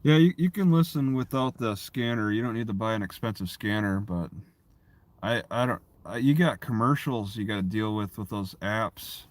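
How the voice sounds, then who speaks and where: A man chatting casually on a livestream, rambling and often restarting his phrases. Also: fairly low pitch. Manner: halting, repeats and restarts phrases. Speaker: live streamer.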